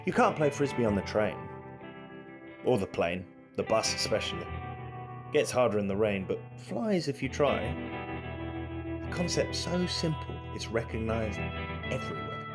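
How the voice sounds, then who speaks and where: A man reading a spoken word poem in a slow, deliberate recital. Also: fairly low pitch, animated. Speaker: poetry reader. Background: soft guitar music.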